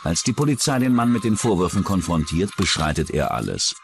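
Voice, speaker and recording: A man's voice: deep voice, very animated, a little fast, faint music behind. A man reading scripted narration for a true-crime documentary.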